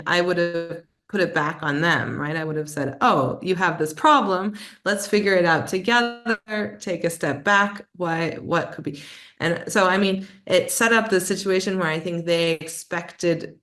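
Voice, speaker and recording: A woman's voice: lowish voice, fairly bright tone. A woman presenting online, answering a conference question in an explanatory way, often restarting her sentences. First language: English.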